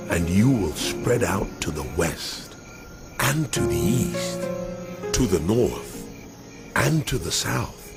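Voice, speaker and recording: A man playing a solemn, almighty God speaking slowly and declaring in a Bible audio drama. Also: low voice, full character voice. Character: God, solemn almighty male divine being in a dream. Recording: loud music bed behind voice.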